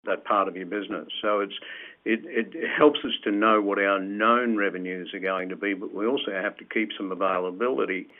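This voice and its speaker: A man's voice: on the low side, fairly even intonation, fairly quick. A man speaking as a company executive, answering questions on an earnings call in an explanatory way and restarting phrases. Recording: tinny, phone-like conference call line.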